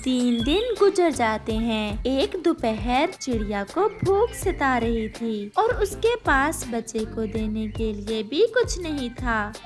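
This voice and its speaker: A woman's voice: on the high side, very animated, takes it slowly, hardly any pauses, soft background music. A woman narrator reading a scripted children's story.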